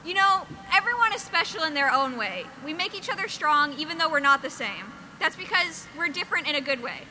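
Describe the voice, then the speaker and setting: Young woman student speaker, upbeat scripted delivery, very high voice. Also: animated, fairly quick, bright, forceful tone, slight background noise, rough recording. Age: young adult. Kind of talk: scripted speech.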